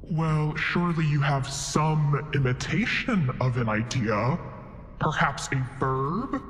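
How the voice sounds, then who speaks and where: A man's voice: a little high, a bit bright, a little hiss or hum, exaggerated voice. A man voicing an ancient, godlike celestial creature in a tabletop game, speaking formally and with solemn patience. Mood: solemn questioning. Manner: measured, formal, questioning. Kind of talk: tabletop roleplay acting. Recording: long hall reverb, music behind.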